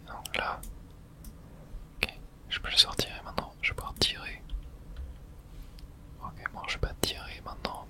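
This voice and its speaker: A person whispering informal ASMR commentary while playing a video game, muttering reactions with some mild swearing in a breathy, unvoiced voice. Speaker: gamer streaming playthrough for viewers.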